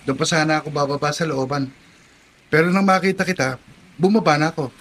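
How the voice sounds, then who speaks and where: Easygoing, considerate young guy, bright voice. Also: fairly high pitch, fast. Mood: friendly. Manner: easygoing, friendly. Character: friendly, considerate young male acquaintance. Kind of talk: Filipino radio drama acting.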